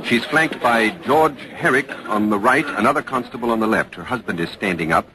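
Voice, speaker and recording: An actor playing a serious on-the-scene news reporter in an old-time radio drama, giving formal, measured live commentary. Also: male voice, medium pitch, a crowd in the background, natural voice. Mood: watchful. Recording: noisy vintage radio broadcast.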